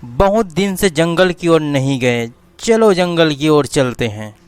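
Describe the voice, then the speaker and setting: A man's voice: on the high side, animated, slow, smooth. A man voicing a scheming talking dog in a cartoon story, speaking in a plain, bossy way.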